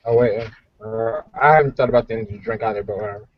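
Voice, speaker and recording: A man's voice: fairly low pitch, fairly even intonation, fast talker, dry recording, dull audio. A man chatting casually as a player in a tabletop roleplaying game.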